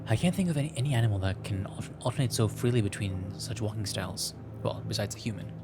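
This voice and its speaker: A man's voice: on the low side, expressive, fast. A man hosting a game playthrough, reading a visual novel aloud with wry, self-deprecating casual commentary and a slightly scratchy throat. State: scratchy throat, frequent throat clearing. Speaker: let's play host. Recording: music playing behind the voice. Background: background music.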